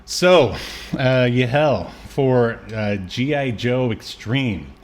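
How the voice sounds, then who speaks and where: A man co-hosting a casual review podcast, chatting in a hesitant, halting way. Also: medium pitch, expressive. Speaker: podcast co-host.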